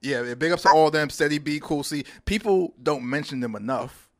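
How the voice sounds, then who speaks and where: A man's voice: a little high, normal speed, dry recording, studio quality. A man co-hosting a casual radio interview, talking in slang and repeating phrases for emphasis. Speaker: radio show co-host.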